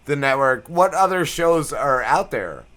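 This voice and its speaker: Casting: male podcast host, very animated pitch, forceful bright tone, informal and enthusiastic chat. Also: measured pace. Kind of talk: casual interview chat.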